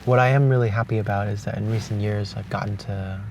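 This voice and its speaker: Man, young, from Hong Kong, native Cantonese, reflective, pausing, outdoor beach, noisy background, passing cars. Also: on the low side, steady tone, vocal fry. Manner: thoughtful, pausing with restarts. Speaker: vlogger. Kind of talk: reflective spoken letter. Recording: distant outdoor mic with noise.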